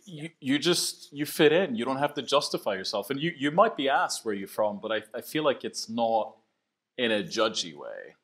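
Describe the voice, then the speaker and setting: A man's voice: mid-range voice, big pitch swings, moderate pace, a bit bright. A man from Sweden with a mid-Atlantic accent that has hints of Swedish, talking thoughtfully as a podcast guest and often repeating his first words. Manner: thoughtful, repeats words when starting sentences. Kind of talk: reflective conversational interview.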